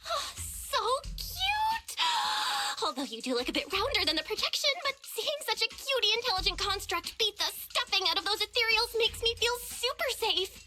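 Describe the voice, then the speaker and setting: A woman's voice: extremely high pitch, very animated, normal speed. A woman playing an upbeat, reassuring young female team leader in an anime game, speaking expressively and encouragingly. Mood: delighted.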